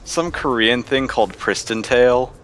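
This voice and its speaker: A man's voice: mid-range voice, normal speed, a bit bright, soft background music. A man in casual chat about video games, rambling loosely with lots of filler words. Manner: rambling, filler-heavy with frequent "like".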